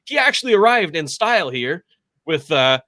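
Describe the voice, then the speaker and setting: A man's voice: on the high side, very animated, moderate pace, bright, forceful tone, dry recording, studio quality. A man co-hosting a sports podcast, introducing segments and chipping in with brief, casual hockey remarks. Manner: short interjections and presenter lead-ins.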